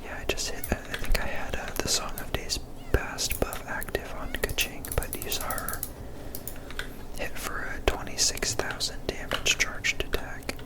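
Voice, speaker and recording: A woman whispering casual, rambling ASMR commentary over gameplay in a soft, unvoiced voice. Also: measured pace, rough recording. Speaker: gameplay video creator.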